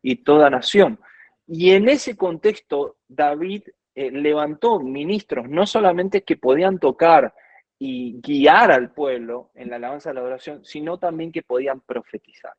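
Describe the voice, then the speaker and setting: A man's voice: on the high side, moderate pace, no echo, dull audio. A man from Argentina with an Argentine accent, a guest worship minister teaching earnestly in conversation and quoting scripture at length. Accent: Argentine Spanish.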